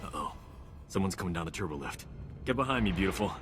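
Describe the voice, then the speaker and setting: An actor playing a cocky, flirtatious rogue doctor in a video game, with a breezy, self-assured manner. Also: male voice, deep voice, expressive, fast, a bit bright. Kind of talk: video game cutscene acting. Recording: game music behind the voice.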